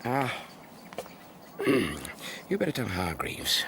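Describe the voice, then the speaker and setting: A noisy radio drama recording of a blustering British army Major. He is pompous and stern, clipped and harrumphing, with a very lively rise and fall. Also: mid-range voice, takes it slowly, vocal fry, full character voice. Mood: stern, threatening. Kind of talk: BBC radio drama dialogue.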